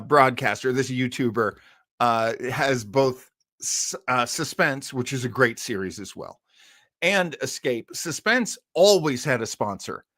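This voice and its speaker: Guy hosting old radio show stream, rambly casual chat, dry room, medium pitch. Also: a bit bright, dry recording. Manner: rambling, chatty asides. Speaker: host of an old-time radio listening show.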